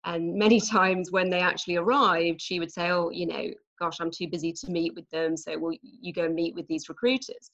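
English woman, Norwich accent, forties, lowish voice, chatty with ums, dull lo-fi call audio. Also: flat delivery, brisk, hardly any pauses. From England. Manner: warm chatty explaining, frequent ums. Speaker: recruitment manager, guest. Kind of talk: conversational podcast interview.

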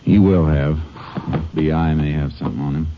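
Stern lawman lead; very deep, commanding, lo-fi radio sound. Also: fairly even intonation, a little fast, background noise. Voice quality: clipped, commanding. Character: stern male Texas Ranger lawman. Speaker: narrator and lead. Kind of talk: 1940s radio acting. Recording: dull old radio recording.

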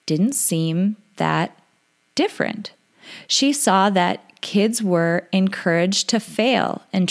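A woman hosting a podcast, introducing her guest with enthusiastic, emphatic delivery. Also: mid-range voice. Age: adult parent. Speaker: podcast host.

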